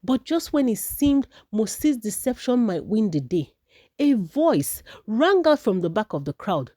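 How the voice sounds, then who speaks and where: A woman's voice: a little high, very animated, a little slow, mellow, no room sound, studio quality. A woman narrating a folktale from a script as a storyteller.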